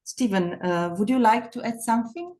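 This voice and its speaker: A woman hosting an interview, politely reading a guest introduction and asking measured questions. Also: a little high, big pitch swings, mellow. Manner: polite, measured, reads lists slowly. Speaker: interviewer, festival host.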